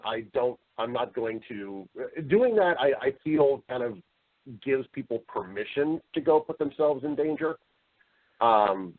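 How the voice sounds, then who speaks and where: A man's voice: mid-range voice, narrow, tinny audio. A man speaking as an interview guest, explaining his views in an earnest, emphatic, conversational way. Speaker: voice-over artist and storyteller.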